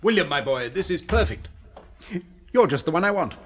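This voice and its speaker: An actor playing a blunt, obsessive neurosurgeon, brisk and persuasive, in a 1970s radio drama. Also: male voice, on the high side, very animated, fairly bright tone. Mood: brisk, excited.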